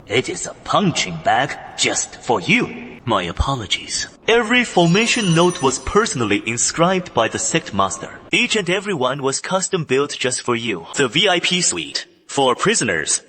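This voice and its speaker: A man's voice: medium pitch, sing-song, normal speed, pushed and bright, big-room sound, slight background noise. An actor playing a cocky young male sect disciple who mocks his captives in an anime dub. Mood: mocking. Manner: gloating, mocking.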